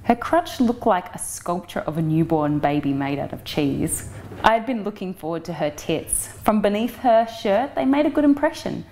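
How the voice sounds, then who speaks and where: A woman's voice: medium pitch, expressive, normal speed, dark tone, slight background noise. A woman reading a first-person novel excerpt as an actress, in a frank, matter-of-fact storytelling manner. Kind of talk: first-person audiobook narration.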